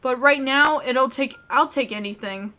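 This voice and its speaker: A woman reading a story aloud in an expressive, theatrical way. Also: high-pitched, unhurried. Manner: theatrical, emotive. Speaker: video game player reading a visual novel aloud. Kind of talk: expressive dramatic read-aloud narration. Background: background music.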